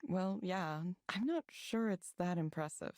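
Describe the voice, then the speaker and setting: An actress playing a reserved, self-doubting former software engineer, guarded and understated, in a visual novel game. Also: female voice, on the low side, expressive, unhurried. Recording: faint background music under the voice.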